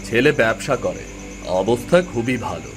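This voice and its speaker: Guy playing a mild village husband in a cartoon, folksy, medium pitch, soft music underneath. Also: lively intonation, moderate pace, lightly acted. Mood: earnest. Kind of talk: cartoon story voice acting.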